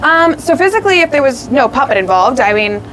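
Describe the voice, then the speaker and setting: A young woman who is a puppeteer and actor, answering interview questions in an expressive, rambling way with lots of fillers. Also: high-pitched, fairly quick, a little hiss or hum, rough recording. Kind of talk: casual interview.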